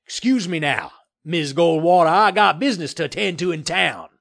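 A man voicing a blustering, bullying land developer in an audiobook story, with a loud, growling, puffing delivery. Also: fairly high pitch, normal speed, vocal fry, voice pushed up. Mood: flustered.

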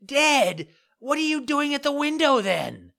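A man voicing a desperate wooden puppet boy in an audiobook, crying out in a high, pleading voice. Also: animated, a little slow, heavily acted. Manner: high, loud, beseeching cries. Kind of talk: audiobook character voice.

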